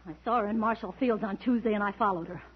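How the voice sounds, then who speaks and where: A woman's voice: medium pitch, quick pace, crowd noise, lightly acted. An actress playing a jealous, sarcastic, nagging woman in an old-time radio drama, accusing in manner. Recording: tinny, narrow-band old radio recording.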